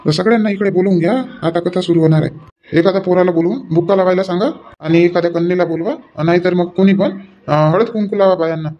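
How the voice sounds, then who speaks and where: High-pitched village husband, played by a man. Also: steady tone, quick pace, dull audio, slight background noise, close to an everyday voice. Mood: instructing. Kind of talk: animated family comedy dialogue.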